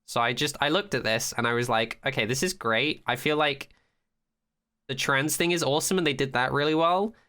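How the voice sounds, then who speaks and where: Bright-voiced man in casual podcast chat. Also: medium pitch, brisk, clean tone. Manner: animated, opinionated, profane. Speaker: podcast co-host. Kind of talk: casual pop-culture discussion.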